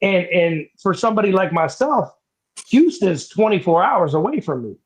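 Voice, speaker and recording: Husky high-voiced man, eager. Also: big pitch swings, moderate pace. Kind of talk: casual livestream chat.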